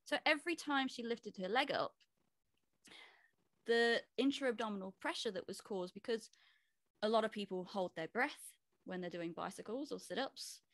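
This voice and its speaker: A woman's voice: medium pitch, lively intonation, moderate pace, fairly bright tone, dry recording. A woman, a personal trainer giving a casual talk over a video call and acting out little quoted asides. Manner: chatty, explains with quoted asides.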